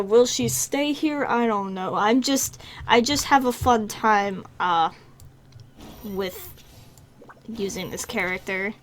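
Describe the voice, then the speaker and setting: A woman streamer giving casual, offhand gameplay commentary with dry, self-amused quips. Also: mid-range voice. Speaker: video game streamer.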